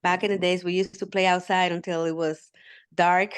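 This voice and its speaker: Low-voiced Puerto Rican woman in her fifties. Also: level tone, normal speed, clean tone. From Puerto Rico. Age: 50s. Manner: warm storyteller with many "um"s, laughing often. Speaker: guest. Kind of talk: casual interview talk.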